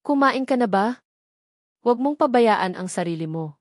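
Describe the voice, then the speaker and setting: A woman's voice: fairly high pitch, expressive, normal speed, darkish tone, no room sound, close to an everyday voice. A wealthy older foster father in mourning, voiced by a female narrator. He speaks with solemn care in a dramatic story, in a dry recording. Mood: solemn concern.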